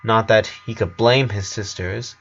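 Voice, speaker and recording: A man reading a scripted story as narrator, in a measured way with pauses between phrases. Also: on the low side, steady tone, fairly bright tone. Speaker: fan fiction narrator. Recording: dull lo-fi voice recording.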